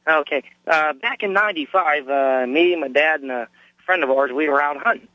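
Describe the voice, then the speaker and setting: A hoarse man from Pennsylvania telling a story in a plain, folksy way. He comes through a dry, muffled phone line, and his voice sits a little high for a man. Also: normal speed, no room sound. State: hoarse from a cold. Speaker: caller.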